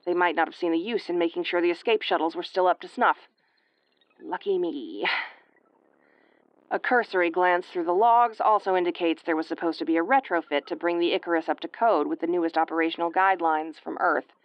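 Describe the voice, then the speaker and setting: A woman's voice: fairly low pitch, brisk, no room sound, lo-fi sound, natural voice. A woman playing a sardonic, sweary tomboyish young starship commander in an audio roleplay, stammering when rattled but secretly tender. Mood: weary, wry.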